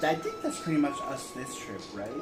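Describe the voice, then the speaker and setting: A man's voice: mid-range voice, huge pitch swings, fairly quick, roomy sound, loud music behind the voice. A man co-hosting a show, chatting casually, laughing often and reading long viewer letters aloud. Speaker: co-host reading viewer answers.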